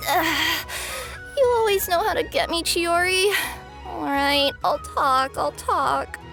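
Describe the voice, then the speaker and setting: High-voiced, flustered young courier girl. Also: takes it slowly, vocal fry, a bit bright, faint music behind, full character voice. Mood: reluctant. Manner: apologetic, hesitant, stammering. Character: young female cat yokai courier, earnest, flustered, eager. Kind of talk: video game character acting.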